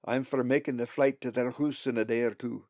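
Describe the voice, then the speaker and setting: A man voicing a warm old male raven spirit with a broad Scottish accent, talking loud and hearty. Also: mid-range voice, fairly even intonation, a little fast, no echo, dull audio, close to an everyday voice. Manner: hearty, loud, dialect-heavy.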